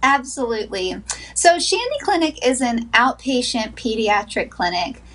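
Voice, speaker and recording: A woman in her thirties with a slightly high voice talks as a therapist guest on a morning show. She sounds earnest and upbeat, with small laughs between points. She joins by video call from a small wood-paneled room. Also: big pitch swings, normal speed, fairly bright tone. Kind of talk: sponsored TV interview, remote.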